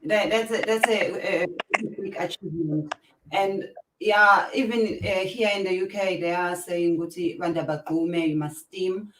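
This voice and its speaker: Woman, South African accent, host, halting speech, echoey room, distant mic. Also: fairly low pitch, fairly bright tone, a little hiss or hum. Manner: halting, with many fillers and restarts. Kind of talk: casual interview chat.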